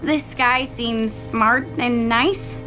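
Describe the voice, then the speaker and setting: A woman's voice: on the high side, extremely slow, over music, low-quality recording. A woman playing a bold, bossy young girl in an audio drama, blunt and insistent.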